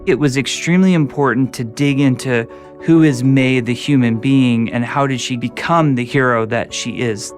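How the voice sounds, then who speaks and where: A man's voice: medium pitch, moderate pace, soft background music. Man explaining in a documentary. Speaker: game developer interviewed. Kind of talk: documentary interview.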